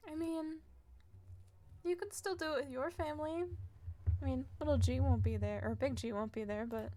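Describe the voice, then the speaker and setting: A woman's voice: high-pitched, moderate pace, dark tone. A woman chatting casually as she livestreams a game, sounding tired and often muttering to herself. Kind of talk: casual game stream commentary. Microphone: streaming mic over game audio. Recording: faint noise, clicks, home stream.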